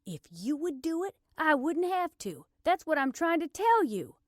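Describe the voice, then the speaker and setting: A woman's voice: medium pitch, animated, a little slow, dry recording, clean studio sound. Woman acting a stubborn, indignant woman under arrest. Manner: forceful, argumentative, emphatic delivery.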